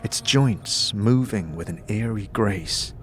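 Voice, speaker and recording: A man narrating a scripted fantasy audio drama in a measured, descriptive storytelling manner. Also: lowish voice, fairly bright tone, slightly rough, faint music behind.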